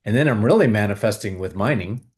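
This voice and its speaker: A man hosting a video, calmly guiding viewers through a tapping exercise with repeated phrases. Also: fairly low pitch, fast talker. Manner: calm, repetitive, coaching tone. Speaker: host leading a tapping exercise. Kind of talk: guided tapping exercise and money talk.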